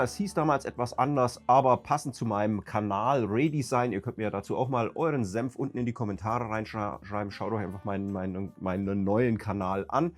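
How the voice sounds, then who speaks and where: A man with a cold, hoarse and raspy, ranting emphatically as a YouTube host talking casually to his viewers. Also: fairly low pitch. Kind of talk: casual vlog talk to viewers.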